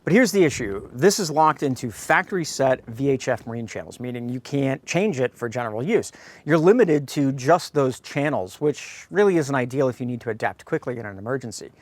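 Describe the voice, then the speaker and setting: A man's voice: mid-range voice, expressive, brisk, dry recording. An American man hosting a product review, speaking to viewers in a blunt, opinionated way. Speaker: host of a radio review.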